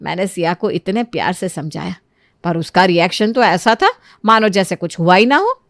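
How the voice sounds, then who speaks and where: A woman voicing a kind, motherly middle-aged aunty with a plaintive manner in an animated moral story. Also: lowish voice, moderate pace, no room sound, clean studio sound.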